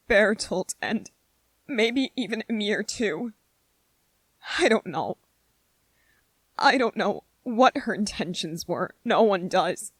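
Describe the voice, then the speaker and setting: A woman playing an anxious, self-doubting, gentle young male soldier in a scripted ASMR roleplay monologue, speaking softly and haltingly with a husky voice. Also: medium pitch, dry recording, exaggerated voice. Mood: hurt, doubting. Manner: hesitant, halting, with repeated phrases and pauses.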